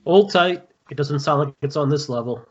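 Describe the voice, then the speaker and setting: A man's voice: a little high, normal speed, lo-fi sound, a little hiss or hum, lightly acted. A man playing a brusque, commanding squad leader in an amateur table read of a horror film script.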